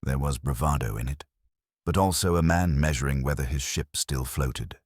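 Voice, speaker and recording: Very deep-voiced male mystery narrator. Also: moderate pace, dry recording, clean studio sound. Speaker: audiobook narrator. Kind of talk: scripted first-person detective mystery narration.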